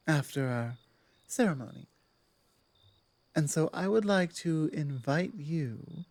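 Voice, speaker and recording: A woman voicing a dominant but softening werewolf pack leader in an intimate ASMR roleplay, speaking in measured, deliberate phrases with weighty pauses. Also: sits low, no echo, full character voice. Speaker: audio roleplay performer.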